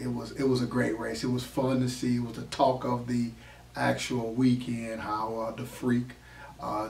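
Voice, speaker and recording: Hoarse man, forties, halting, monotone, roomy echo, far from the mic. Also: mid-range voice. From Chicago area. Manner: halting, many fillers, flat delivery. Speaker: drag racer. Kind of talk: casual interview. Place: small studio office. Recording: echoey room, mic far off, faint noise.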